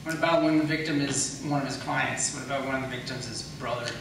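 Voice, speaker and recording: A man's voice: mid-range voice, steady tone, a little fast, creaky. A man in a political debate making an argumentative rebuttal as a candidate, explaining step by step and posing questions. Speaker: candidate for county attorney. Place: large echoey room at a public debate. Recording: echoey room, distant mic, faint noise.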